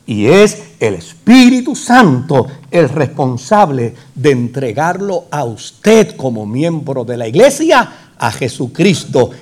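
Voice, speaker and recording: A man's voice: medium pitch, darkish tone. A man with a Puerto Rican accent preaching a sermon, building emphatic repetitions and rhetorical questions into fervent peaks. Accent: Puerto Rican Spanish. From Puerto Rico.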